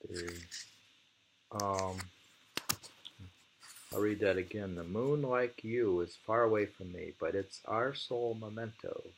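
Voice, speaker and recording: Gravelly, low-voiced man reading poetry. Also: a little hiss or hum, rough recording. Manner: deliberate delivery when reading, musing and tentative in asides. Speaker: reader of poetry. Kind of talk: reading translated poems aloud with informal commentary.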